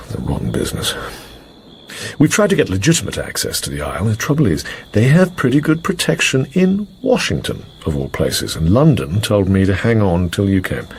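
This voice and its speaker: A British intelligence officer in a radio drama, with a low voice that rises and dips theatrically. The delivery is gravelly, with hesitant storytelling and clipped, well-bred turns of phrase. A faint noise sits behind the recording. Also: male voice, a little mellow, heavily acted. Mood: brisk, businesslike. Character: British intelligence station officer briefing a secret agent. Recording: faint background noise and ambience.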